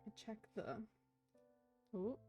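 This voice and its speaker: Chatty girl streaming a game, unhurried talk to chat, bit of music behind her. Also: mellow. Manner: informal, chatty, talking to viewers. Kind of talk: casual livestream chat while gaming.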